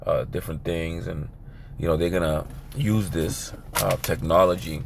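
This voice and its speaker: Hoarse, gravelly-voiced man preaching. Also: low voice, a little mellow, a little hiss or hum. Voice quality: raspy. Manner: rambling, filler-heavy, conversational. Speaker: religious teacher. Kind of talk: informal video sermon.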